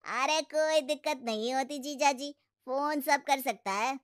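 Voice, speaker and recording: A woman's voice: sits high, unhurried, dry recording, clean studio sound. A woman voicing a cocky, know-it-all twenty-year-old young man in a moral story cartoon. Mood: smug, breezy. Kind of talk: animated moral story character acting.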